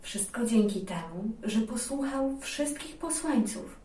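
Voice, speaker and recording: A woman co-telling a children's story in a calm, explanatory, conversational way. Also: on the high side, measured pace, echoey room, recorded from a distance. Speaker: co-storyteller in a children's tale series.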